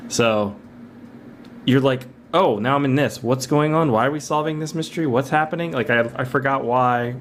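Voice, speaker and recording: A man's voice: mid-range voice, moderate pace, a little hiss or hum. A man co-hosting a podcast, chatting casually about movies in an informal, amused way with some swearing. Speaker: podcast co-host. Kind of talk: casual movie review chat. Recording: music playing behind the voice. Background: background music.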